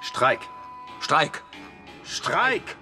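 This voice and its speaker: A man's voice: a little high, sing-song, very slow, heavily acted. A man acting in a scripted radio drama. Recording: clear music under the voice. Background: music.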